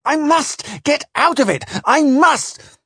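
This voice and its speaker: A desperate Englishman in captivity, played by a male audiobook reader, pleads and exclaims. His voice jumps high and swings wildly, with frantic, indignant panic. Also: sing-song, normal speed, husky, dry recording, clean studio sound. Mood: desperate pleading. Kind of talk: audiobook character voice.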